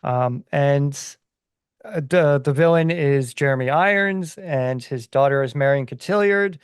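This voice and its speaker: A man with a fairly high-set voice discusses things casually on a podcast. He explains conversationally, with halting phrasing. The sound is dry, with very little room in it. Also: smooth, no room sound. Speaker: podcast co-host.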